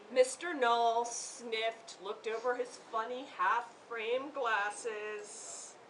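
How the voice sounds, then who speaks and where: A woman reading a children's story aloud in an expressive, theatrical way, pausing to engage her listeners. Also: on the high side. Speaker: author reading to a group of children. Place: room with children responding. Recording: distant microphone, noisy room.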